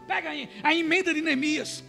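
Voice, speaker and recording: A man preaching a sermon, retelling scripture and shouting fervently. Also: extremely high pitch, moderate pace, gravelly, faint music behind.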